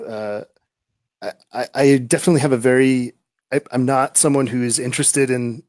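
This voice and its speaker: A male guest author of average pitch answers questions slowly and thoughtfully. He hesitates often and repeats words as he works out each thought. The recording is dry and close. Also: darkish tone, dry recording. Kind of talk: casual interview answers.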